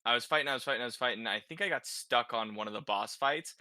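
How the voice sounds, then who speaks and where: A man's voice: mid-range voice, fast talker, pushed and bright, dry recording, studio quality. A man hosting a podcast, chatting casually about video games in an informal way, as if thinking aloud. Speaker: podcast host.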